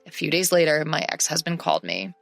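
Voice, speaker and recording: A woman reading a first-person story as narrator in a steady scripted telling, close to the mic. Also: low voice, fast talker, creaky, no echo, soft background music.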